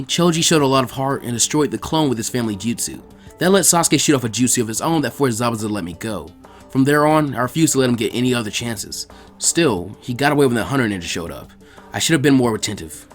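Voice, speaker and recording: A composed veteran ninja teacher, put on by a male reader for an anime fan story. His voice drops low and stays measured and grave. Faint music sits behind it. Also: expressive, lightly acted. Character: calm, seasoned adult male ninja teacher.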